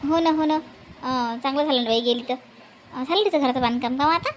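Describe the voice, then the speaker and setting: बाई साकारत असलेली उंच आवाजाची गप्पिष्ट गृहिणी. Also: female voice, high voice, fast talker, a little hiss or hum, natural voice. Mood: chatty. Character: chatty, homely Marathi village women of a family cartoon. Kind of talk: animated family comedy dialogue.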